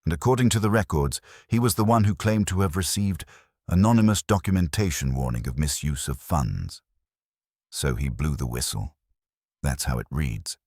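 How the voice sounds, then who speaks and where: A man's voice: rumbling low, moderate pace, a little mellow, slightly rough, no room sound, studio quality, heavily acted. A man playing a deferential, diligent police sergeant, reporting in clipped, matter-of-fact phrases in a detective story. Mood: earnest, reporting. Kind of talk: audiobook detective mystery character reading.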